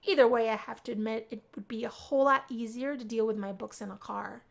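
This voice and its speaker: A woman reading scripted first-person visual novel narration aloud in an expressive way. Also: a little high, fast, gravelly. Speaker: let's play reader.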